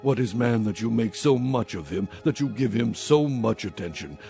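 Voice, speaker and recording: A man's voice: mid-range voice, lively intonation, normal speed, creaky, mellow. An actor playing a despairing, suffering man who laments in solemn anguish in a dramatized scripture reading. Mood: weary despair. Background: music.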